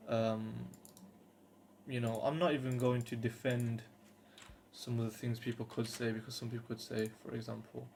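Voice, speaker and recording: A man's voice: mid-range voice, level tone, normal speed, vocal fry, a little mellow. A British man in his twenties talking casually and earnestly to camera, hesitant and rambling, with faint background noise. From United Kingdom. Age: 20s. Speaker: vlogger.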